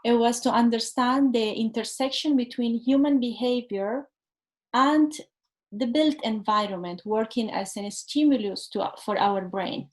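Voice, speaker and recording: Higher-voiced woman host asking questions. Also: measured pace. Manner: hesitant, careful phrasing with fillers. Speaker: interviewer, host. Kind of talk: interview questions.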